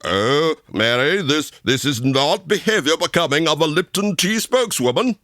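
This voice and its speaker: An actor playing a gleefully macabre, exasperated horror host in 1940s radio style, theatrical and mock-sinister. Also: male voice, a little high, expressive, moderate pace, fairly bright tone, dry recording, clean studio sound. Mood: shocked, flustered.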